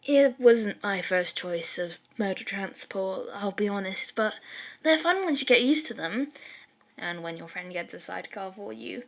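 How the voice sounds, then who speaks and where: A woman's voice: medium pitch, animated, a little slow. A woman voicing a good-natured, sheepish teenage schoolboy with a casual, hesitant way of talking in a story reading. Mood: amused, sheepish.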